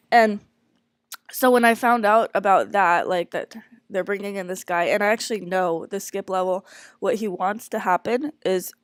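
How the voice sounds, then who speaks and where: A woman's voice: medium pitch, a little slow, dry recording, clean studio sound. A congested woman candidly talking through a stressful work situation, hesitant and full of fillers and restarts. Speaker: speaker sharing a personal work situation. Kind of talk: candid personal monologue.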